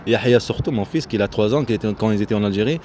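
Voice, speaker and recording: Man rambling casually over loud outdoor noise. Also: on the low side. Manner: halting, repetitive, self-interrupting. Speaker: vlogger. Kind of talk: casual rambling vlog commentary. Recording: noisy outdoor recording with vehicle sounds.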